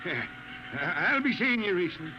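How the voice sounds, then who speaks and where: A man's voice: high voice, sing-song, moderate pace. An actor playing an uneasy, apologetic ship's crewman who talks hesitantly and stammers, in a 1950s radio drama. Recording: muffled old radio broadcast, loud hiss.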